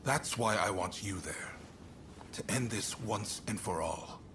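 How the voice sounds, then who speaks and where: A man's voice: fairly low pitch, measured pace, noisy background. An actor playing a shrewd, spirited samurai statesman in a video game cutscene, theatrical and confiding in his delivery. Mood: resolute.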